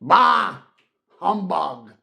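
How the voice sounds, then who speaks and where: A man voicing a grumpy, scornful old miser uncle in a gruff, indignant and emphatic manner, as in a storybook reading. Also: high-pitched, animated, very slow, sharp tone, voice pushed up, heavily acted.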